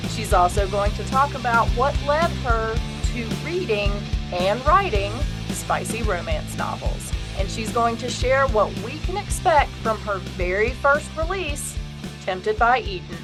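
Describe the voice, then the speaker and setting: A woman's voice: on the high side, lively intonation, unhurried, music in the background. A woman hosting a podcast interview, upbeat and enthusiastic. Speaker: podcast host.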